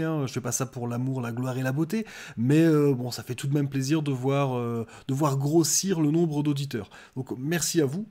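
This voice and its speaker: A man's voice: a little high, a little mellow. A man hosting a solo podcast outdoors, talking casually and rambling with many fillers. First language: French. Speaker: podcast host.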